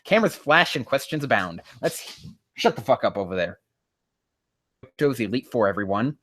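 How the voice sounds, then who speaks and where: A man's voice: mid-range voice, very animated, normal speed, a bit bright, no echo, clean studio sound. A man hosting a sarcastic reaction reading of fan fiction, with a profane, mocking manner prone to exasperation. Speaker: host.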